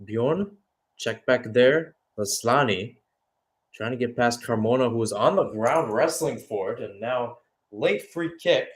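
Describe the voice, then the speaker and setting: A man's voice: mid-range voice, a little slow, rough recording. A man giving radio-style live play-by-play football commentary as the match commentator.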